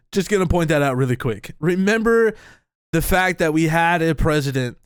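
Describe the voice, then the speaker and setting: Male host, blunt and profane reaction commentary; slightly high, smooth, dry sound. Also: animated, moderate pace, dry recording. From United States.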